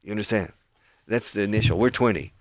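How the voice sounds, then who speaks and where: A man with a low voice teaches English on the radio. He explains phrases patiently, moving between two languages. The studio sound is dry and close. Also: quick pace, no room sound.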